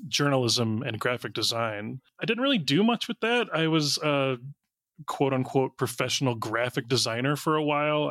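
American male interview guest, conversational, mid pitch with noticeable fry, close dry sound. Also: fairly bright tone, no echo. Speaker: interview guest, writer and audio drama director. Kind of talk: casual interview chat.

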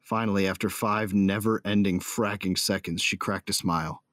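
A man's voice: on the low side, fairly even intonation, normal speed, no echo, lightly acted. A man playing a passionate, lovestruck space bounty hunter, narrating intimately and earnestly in an audio drama. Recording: loud music behind the voice. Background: music.

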